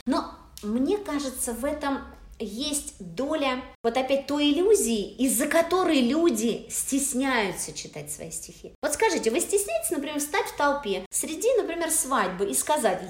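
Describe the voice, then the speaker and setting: A high-pitched woman hosts a relaxed conversation with lively, animated delivery and frequent laughter. Her voice rings in an echoey room. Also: moderate pace. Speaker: host. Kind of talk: casual chat.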